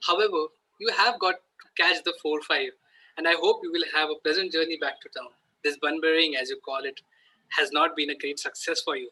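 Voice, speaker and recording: A man playing an irritable, stern Victorian gentleman guardian in an amateur table read of a stage comedy, scolding in an exasperated way. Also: on the high side, fairly even intonation, fairly quick, husky, exaggerated voice, low-quality recording. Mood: indignant, scolding.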